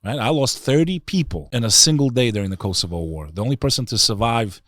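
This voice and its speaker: A man's voice: medium pitch, lively intonation, fast, a bit bright, dry recording, clean studio sound. A middle-aged man, speaking earnestly and emphatically with rhetorical questions, as an interview guest giving opinions and personal stories. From New York City area, United States. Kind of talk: impassioned opinion and personal storytelling.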